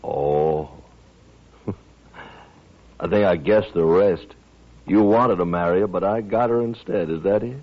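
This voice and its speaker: A callous frontier gambler and gunfighter. He is brash, mocking and defiant in a very low voice, heard on a muffled old radio western recording. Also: slow, pauses often. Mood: mocking menace. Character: cocky, callous male riverboat gambler and gunfighter. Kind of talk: 1950s radio western acting.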